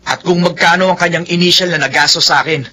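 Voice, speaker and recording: A man's voice: fairly high pitch, steady tone, fast, sharp tone. A man playing a proud, defensive boyfriend in a melodramatic radio drama, speaking insistently.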